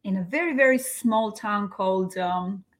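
A woman with an Albanian accent chats as a guest in a casual interview. Her voice sits at an ordinary female pitch, and she is playful and emphatic, repeating phrases to make her point. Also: normal speed.

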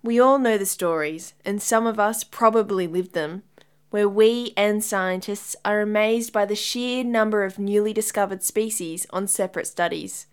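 A woman's voice: mid-range voice, smooth, natural voice. Woman playing an earnest female scientist lecturing. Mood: earnest, informative. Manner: earnest, measured, educational. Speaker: scientist presenter. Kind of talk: audio drama scripted lecture.

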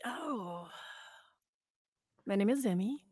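A woman's voice: mid-range voice, animated, slow pace, a little mellow, breathy edge, dry recording, clean studio sound. A middle-aged American woman from Oregon, a podcast guest chatting casually in a giggly, joking manner. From Oregon, United States. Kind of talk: casual chat.

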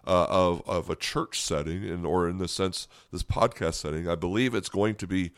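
Slightly hoarse, low-voiced American male pastor. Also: steady tone, normal speed, no echo, clean studio sound. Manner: earnest, direct questions to listeners. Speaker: pastor and podcast host. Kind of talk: conversational sermon podcast.

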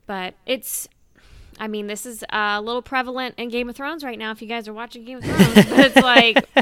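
A woman's voice: fairly high pitch, fairly even intonation, moderate pace, fairly bright tone, smooth, no echo, clean studio sound. A woman hosting a podcast, talking casually as a fan in conversation. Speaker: podcast host.

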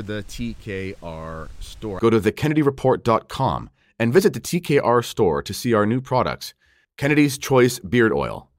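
A man from Canada hosting an interview podcast, chatty and joking, with frequent fillers. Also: medium pitch. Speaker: host.

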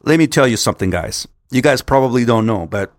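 A man's voice: mid-range voice, expressive, a little fast, no echo, clean studio sound. A man, a Spanish speaker, telling a casual story to viewers in a rambling, repetitive, stop-start way peppered with swearing. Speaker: vlogger.

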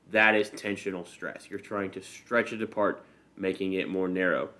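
Male podcast host, Midwestern American, lowish pitch, informal solo explainer with dry, self-deprecating humour. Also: a bit bright. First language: English. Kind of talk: casual solo explanatory narration.

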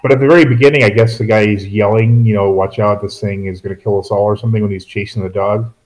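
Man, low voice, easygoing podcast co-host who wanders off on tangents and makes dry jokes. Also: fairly even intonation, fast talker, a little mellow, poor audio. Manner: rambling, self-correcting, dry asides. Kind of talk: casual movie chat.

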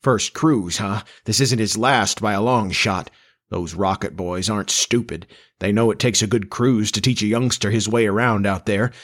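A man voicing a gruff, sour middle-aged farmer father in a story, curt and grumbling, with a folksy turn of speech. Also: lowish voice, moderate pace, close to an everyday voice. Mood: irritable, scornful.

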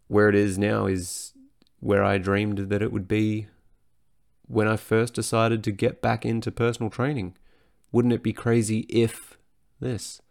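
A low-pitched man with a hoarse, worn voice talks on his own podcast. He speaks frankly and swears freely in casual chat. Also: a little mellow. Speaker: personal trainer and podcast host. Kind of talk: casual solo podcast talk.

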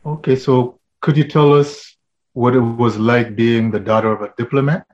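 A man's voice: medium pitch, steady tone, moderate pace. Male interview host, short plain questions, muffled lo-fi recording. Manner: brief questions and short replies. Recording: dull lo-fi sound.

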